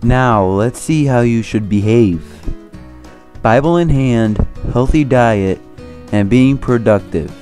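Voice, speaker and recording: A man's voice: medium pitch, slow, a little mellow. A man reading scripted 1930s-style propaganda film narration in a solemn, formal, preachy manner. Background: music.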